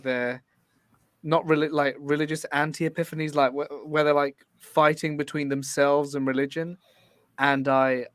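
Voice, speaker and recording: A man of middling pitch arguing his point as a podcast guest. He hesitates, circles back and leans on fillers as he goes. The recording is dry and close, with no room sound. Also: fairly bright tone, dry recording. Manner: hesitant, rambling, many fillers. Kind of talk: casual debate argument.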